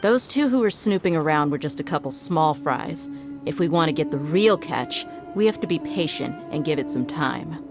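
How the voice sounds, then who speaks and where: A cocky, sly mercenary. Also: female voice, sits low, animated, normal speed, music in the background. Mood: smug. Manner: cocky, teasing, laid-back. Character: confident female desert mercenary, sly and unbothered. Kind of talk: video game cutscene dialogue.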